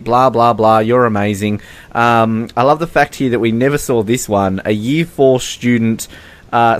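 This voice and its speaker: An Australian man co-hosting a podcast in casual chat, rambling along with a joking, mocking manner. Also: mid-range voice. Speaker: podcast co-host.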